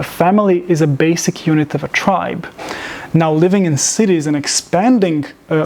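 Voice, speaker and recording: A man from Serbia giving a public talk, measured in pace, often restarting his phrases. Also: fairly high pitch, a little mellow. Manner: measured, with frequent restarts and fillers. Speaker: public speaker. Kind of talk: lecture presentation.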